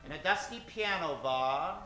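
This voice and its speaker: A man's voice: a little high, expressive, moderate pace, roomy sound, music in the background, close to an everyday voice, rough recording. A man playing the storyteller narrator of an old-time radio adventure serial.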